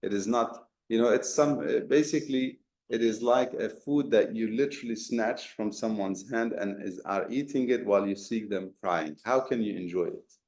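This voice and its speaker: A man's voice: on the low side, normal speed, few pauses, a little mellow. A man teaching a Quran commentary class, explaining step by step with rhetorical questions and Arabic terms. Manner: mixes Arabic terms into talk. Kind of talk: explanatory religious lesson. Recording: echoey room.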